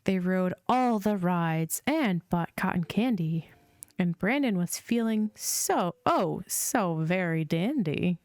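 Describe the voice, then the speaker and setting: A woman reading children's storybooks aloud as a host, in a measured, sing-song storytelling delivery. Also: on the low side, expressive, a little mellow, no room sound, studio quality. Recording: music playing behind the voice. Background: chill music.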